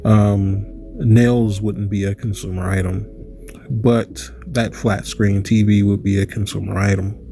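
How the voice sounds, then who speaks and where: Man, stuffy nose, rambling monologue, rhetorical questions, mellow tone. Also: fairly low pitch, steady tone, measured pace, a little hiss or hum. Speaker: channel host. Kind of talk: casual monologue to camera.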